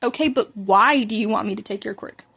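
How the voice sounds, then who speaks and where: A woman voicing an excitable, polite teenage boy hero-in-training who rambles and stammers, in a story reading. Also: medium pitch, a little fast, creaky, slightly rough. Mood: eager, surprised.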